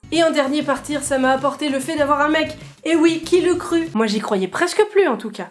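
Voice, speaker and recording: A young woman vlogging casually to camera, chatty and self-mocking, with quick asides. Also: a little high.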